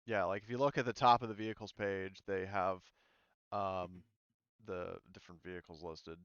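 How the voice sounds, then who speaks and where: Male game master, low clean voice, informal and sweary, dry close sound, slightly muffled mic. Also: fairly even intonation, moderate pace, a bit bright, clean tone, no echo. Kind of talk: casual tabletop game discussion.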